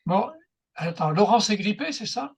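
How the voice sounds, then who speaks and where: Une femme qui anime une séance de tapotement en groupe, posant des questions brèves et directes en appel vidéo. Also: female voice, mid-range voice, animated, moderate pace, husky. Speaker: EFT session leader. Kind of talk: guiding a group tapping session. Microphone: video call.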